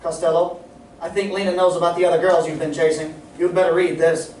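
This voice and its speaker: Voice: mid-range voice, flat delivery, brisk, a little mellow, close to an everyday voice. A young performer playing an exasperated, scolding straight man in a vintage radio comedy routine on stage. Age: young adult. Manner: scolding, prompting. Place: live stage in a community playhouse. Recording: echoey hall, distant mic, noise.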